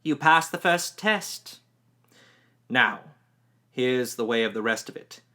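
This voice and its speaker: A stern, ancient woman, as a male narrator puts her on, speaks with slow, commanding menace in an audiobook reading. Her words come drawn out, the tone bright and tense. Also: medium pitch, animated.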